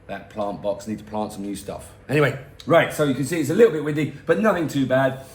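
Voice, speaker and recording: Hoarse man, middle-aged, rambling vlog host, mid-pitched, roomy sound. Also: lively intonation, fast, a little hiss or hum. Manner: chatty and rambling, self-mocking asides. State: hoarse, losing his voice. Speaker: weather forecast host for a boat tour company. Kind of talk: casual weather vlog. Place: home, in front of a garden.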